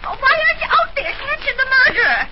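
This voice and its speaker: Through heavy old-radio noise comes a very high-pitched woman, a frightened, insistent neighbor. She stammers and scolds in agitation, in the manner of a 1940s radio play. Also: big pitch swings, quick pace. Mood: frantic, impatient. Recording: noisy, muffled 1940s radio recording.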